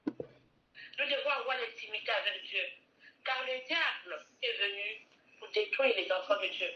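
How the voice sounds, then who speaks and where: A woman's voice: medium pitch, measured pace, lo-fi sound. A woman preaching a sermon fervently, repeating phrases and asking listeners to repeat after her.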